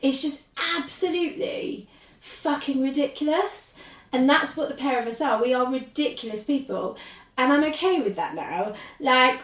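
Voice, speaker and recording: Squeaky-voiced woman, animated podcast guest. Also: normal speed, echoey room, far from the mic. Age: 40s. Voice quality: squeaky speaking voice. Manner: rambling, animated, laughs often. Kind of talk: casual chat.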